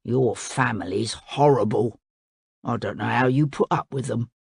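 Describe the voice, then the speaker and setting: A woman's voice: rumbling low, measured pace, gravelly, darkish tone, breathy edge, no room sound, studio quality, pitched below the performer's own voice, heavily acted. A woman growling as a sulky greedy crocodile. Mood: grumbling. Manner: blunt, grumbling, sulky.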